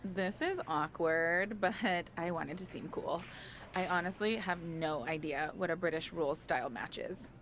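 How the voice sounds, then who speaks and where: An actress playing a friendly, slightly awkward backstage interviewer in a video game, casual and candid. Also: female voice, fairly low pitch, unhurried, fairly bright tone, slight background noise. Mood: sheepish. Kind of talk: video game cutscene acting.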